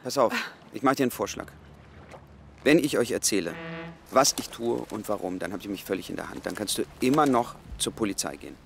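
An actor playing an earnest secret agent in his thirties in a radio drama, explaining himself with measured insistence. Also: fairly low pitch, expressive, vocal fry, a bit bright, a little hiss or hum. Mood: earnest, confiding. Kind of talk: naturalistic radio drama acting.

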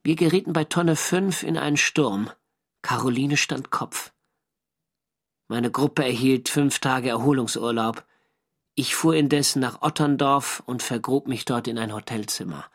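An actor playing a German navy skipper who narrates his First World War diary in a measured, dry, literary way for a radio drama. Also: mid-range voice. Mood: wry.

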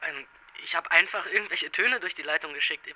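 Bright, high-voiced, guileless boy phone freak. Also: poor audio. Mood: innocent, pleased. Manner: halting, repeating first words, guileless. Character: naive, enthusiastic blind boy phone freak who loves tones. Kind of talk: naturalistic German radio drama dialogue.

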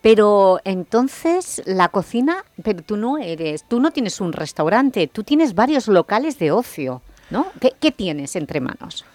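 A woman hosting a radio interview, asking brisk, inquisitive questions. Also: mid-range voice, sing-song, darkish tone, no room sound. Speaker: radio host.

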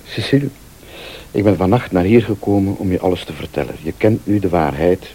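An actor playing a shrewd, insistent accuser in a radio thriller, speaking formally and with deliberate insinuation. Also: male voice, low-pitched, lively intonation, dark tone, a little hiss or hum. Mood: pleading. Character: male late-night accuser, shrewd, insistent, laying out a murder plot. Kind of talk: Dutch-language radio thriller acting.

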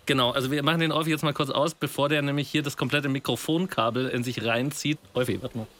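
A man's voice: medium pitch, steady tone, fast talker, a bit bright. A man in casual studio discussion, explaining things in a colloquial way as a co-presenter.

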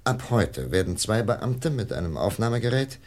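Deep-voiced 1950s radio investigator. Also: male voice, big pitch swings, a little mellow. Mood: methodical, official. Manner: measured, official, precise questioning and instructing. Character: composed, methodical male FBI investigator. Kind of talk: 1950s German radio crime drama acting.

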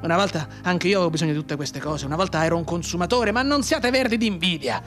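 Un attore che interpreta in un radiodramma un giovane reduce di guerra mutilato, amaro e sardonico, con tono declamatorio e appassionato. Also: male voice, a little high, expressive, fast, fairly bright tone. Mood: bitter, sardonic. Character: bitter, maimed young war veteran, anguished and sardonic. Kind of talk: dramatic radio theatre monologue. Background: music under the voice.

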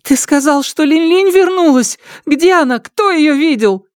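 Man acting a frantic mother, very high-pitched. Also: a little slow, no echo, studio quality. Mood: pleading. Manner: crying out, imploring. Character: frantic, distraught wife and mother, pleading and bitter. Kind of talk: audiobook character acting.

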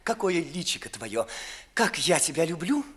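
A man playing an obsequious, sly young secretary, soft-spoken and ingratiating, in a 1950s radio play. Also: fairly high pitch, big pitch swings, normal speed, breathy edge, heavily acted. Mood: wheedling, amorous. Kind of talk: 1950s theatrical radio drama in verse.

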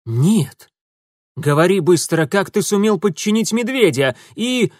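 A man as a haughty young prince, imperious, indignant, raised pitch, dry audiobook read. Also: lively intonation, slow, dry recording. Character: haughty proud young nobleman, quick-tempered.

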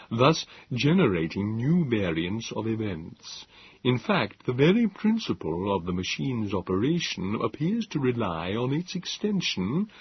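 A man voicing a cold, quiet, non-human male from the future, speaking slowly and softly. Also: medium pitch, highs cut off, a little hiss or hum, pitched above the performer's own voice. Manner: slow, soft and distant.

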